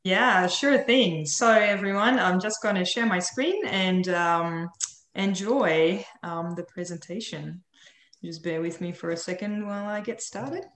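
A woman's voice: mid-range voice, unhurried, fairly bright tone, echoey room. Dutch woman presenting over a video call. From Netherlands. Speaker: marine biologist presenter. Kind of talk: online webinar presentation. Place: home room on video call. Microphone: video call audio.

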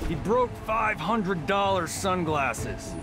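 A cocky action hero with a fairly high, lively voice. He is flippant and boastful in video game banter, with music behind him. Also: slow pace, full character voice. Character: cocky, wisecracking vain male action-movie hero.

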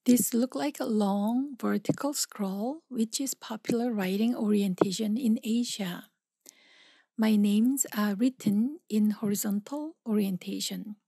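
A woman with a Korean accent reading a prepared statement about her artwork in a careful, measured way. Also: medium pitch, dark tone, breathy edge, no room sound. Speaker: exhibiting artist.

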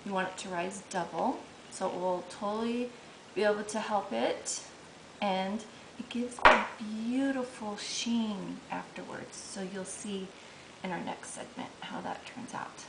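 A middle-aged woman talks her viewers through baking in a home kitchen, chatty and upbeat as she works with her hands. Her voice sits in the middle range and bounces off hard kitchen surfaces. Dishes and pans clatter clearly behind her. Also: medium pitch, unhurried, echoey room, heavy background noise. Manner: chatty, upbeat, talks while working dough. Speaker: cooking show host. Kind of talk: casual cooking demonstration.

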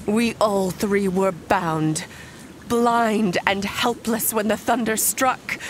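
A woman playing the undead young Bride of Frankenstein in a gothic horror audio drama, solemn, hushed and breathy in a poetic monologue. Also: on the high side, background noise. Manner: breathy, hushed, incantatory, deliberate.

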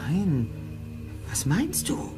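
A man's voice: high voice, huge pitch swings, extremely slow, over music, exaggerated voice. A man voicing a thoughtful teenage detective in an audio drama, pondering and questioning. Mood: tense.

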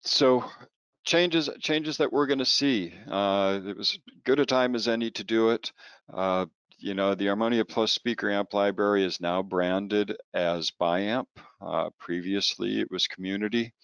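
A man presenting software training as a product trainer, informal and halting, often repeating words as he explains. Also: on the low side, clean tone, no room sound.

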